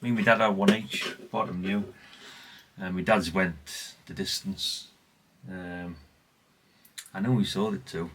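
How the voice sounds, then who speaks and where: A man's voice: sits low, steady tone, unhurried, fairly bright tone, slightly rough. A man, chatting casually and ramblingly as he presents old radio gear in a vlog. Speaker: vlogger showing vintage CB radios. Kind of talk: casual, unscripted chat.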